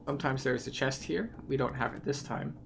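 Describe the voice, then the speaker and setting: A man with a noticeably creaky voice walks viewers through a video game. He explains each step methodically, like a tour guide, while music plays clearly behind him. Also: mid-range voice, a little fast.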